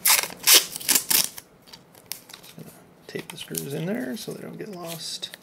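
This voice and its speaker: Creaky-voiced repair host muttering over loud noise. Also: medium pitch, expressive, slow. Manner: rambling, muttering, thinking aloud. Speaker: video host repairing electronics. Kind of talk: casual off-the-cuff repair commentary. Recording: noisy recording with clicks.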